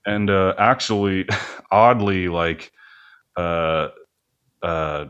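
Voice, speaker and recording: A man with a low voice speaks as a guest in a casual interview. He rambles a little and hedges his points, sounding self-effacing as he explains things. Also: extremely slow, a bit bright, clean tone, dull audio. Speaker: podcast guest, band musician and studio owner.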